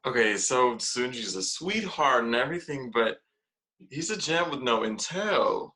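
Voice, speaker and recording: A man's voice: medium pitch, expressive, unhurried, pushed and bright. A man playing a devious, theatrical young gossip confiding to camera in a comedic confessional script. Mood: gossipy.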